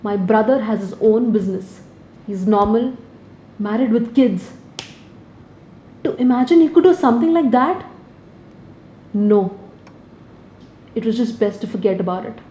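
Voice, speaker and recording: A woman's voice: a little high, unhurried, long pauses, dark tone, natural voice. Woman acting a distraught Indian mother, roomy sound. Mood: shocked, defensive. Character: distraught upper-middle-class mother of a little girl. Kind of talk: dramatic monologue in a short film. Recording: roomy, distant, faint noise.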